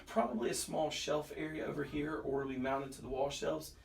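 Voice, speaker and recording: A man's voice: a little high, animated, a little fast, gravelly. A man talking casually as a vlogger, in the manner of a pastor chatting informally. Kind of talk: casual vlog talk. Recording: noisy, distant handheld camera sound.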